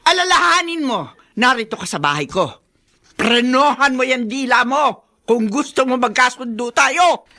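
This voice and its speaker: A woman's voice: high-pitched, animated, moderate pace, heavily acted. A woman playing a domineering middle-aged mother-in-law with a Filipino accent, nagging and accusatory, in a radio drama. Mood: offended, accusatory. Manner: nagging, accusatory, sharp-tongued.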